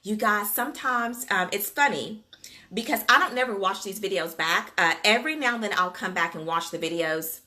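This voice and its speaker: A woman with a Southern US accent chats to her livestream viewers in a folksy, talkative way. Her voice is bright and forward, with an easy, homespun flow. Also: medium pitch, moderate pace. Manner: chatty, folksy, addresses viewers as "you guys" and "y'all". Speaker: livestream host, daycare business coach. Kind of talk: casual livestream chat to viewers. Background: Gulf Coast.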